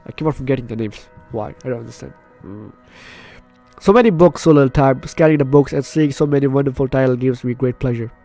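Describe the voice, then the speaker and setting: Male let's player, medium pitch, reads story game narration aloud with casual playful reactions, faint music underneath. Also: quick pace, mellow. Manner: playful, chatty asides. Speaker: gaming YouTuber.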